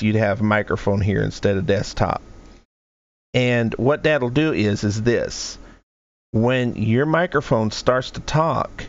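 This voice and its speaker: Low-voiced man with some fry, casual rambling explainer, recorded at a desk mic with muffled lo-fi sound. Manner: rambling, talks through each setting aloud with restarts. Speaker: streamer explaining audio settings. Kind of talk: casual screen-share tutorial. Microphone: desk microphone. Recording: dull lo-fi stream audio.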